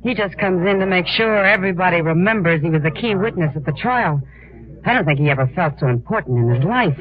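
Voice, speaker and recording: A woman's voice: fairly low pitch, lively intonation, quick pace, close to an everyday voice. A woman playing a frank, sympathetic American saloon woman in a 1950s radio western. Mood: upset, scornful. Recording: dull 1950s radio recording.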